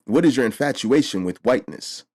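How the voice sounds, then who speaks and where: A man's voice: medium pitch, animated, a little fast, a little mellow, breathy edge. A man hosting a casual discussion, blunt and emphatic, with frequent swearing. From Mexico. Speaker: host.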